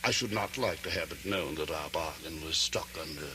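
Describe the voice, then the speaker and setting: An actor playing a cunning, menacing sheriff with silky, formal courtesy in an old radio drama. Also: male voice, very deep voice, moderate pace, pushed and bright. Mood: smug, courteous. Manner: formal, taunting, theatrical delivery. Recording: noisy vintage 1970s-80s radio recording.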